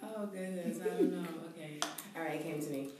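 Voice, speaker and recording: A woman's voice: on the low side, fairly quick, distant mic, a crowd in the background. A woman from Brooklyn, hesitant and giggly, introducing herself in a group. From Brooklyn, New York. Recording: echoey room, off mic.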